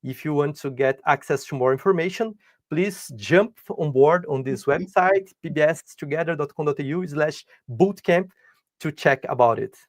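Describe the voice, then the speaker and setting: Brief: male webinar host, somewhat high voice, very dry close sound, measured promo read. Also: dry recording. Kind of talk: announcement and introduction.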